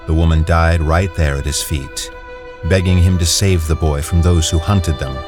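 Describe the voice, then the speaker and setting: A man narrating in a measured, somber storytelling manner. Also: low voice. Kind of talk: scripted narration.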